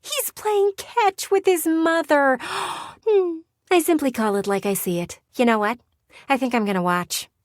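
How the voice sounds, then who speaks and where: An actress voicing a playful, sardonic living window in a video game, with a teasing, theatrical and blunt delivery. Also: female voice, sits high, no room sound, studio quality. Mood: excited, chatty. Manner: teasing, theatrical, blunt, sighs and drawn-out words. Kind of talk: video game character voice acting.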